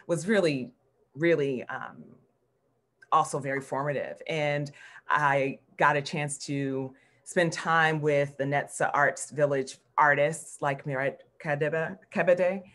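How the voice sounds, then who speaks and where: Low-voiced American woman, reflective speaker. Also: a bit bright. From Newark, New Jersey, United States. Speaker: art history professor, guest speaker. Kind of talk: informal career talk with slides. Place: indoor room at home, on a video call. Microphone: video call computer microphone.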